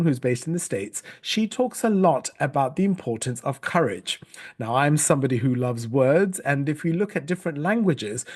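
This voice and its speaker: A middle-aged man from Sri Lanka coaches staff on storytelling in a recorded talk. He speaks in an earnest, measured way, often restarting phrases with ums and uhs, and sometimes spells words out letter by letter. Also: on the high side, darkish tone.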